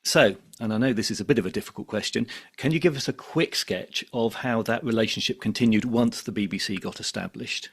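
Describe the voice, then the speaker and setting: A man's voice: lowish voice, fairly quick, few pauses, husky. A British man hosting a podcast, giving scripted narration and putting questions to a guest. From United Kingdom. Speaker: podcast host and interviewer.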